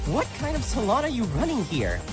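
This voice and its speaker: A woman's voice: on the high side, huge pitch swings, brisk. A woman voicing a cocky young male hedgehog hero who quips sarcastically. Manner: quippy and sarcastic. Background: music score.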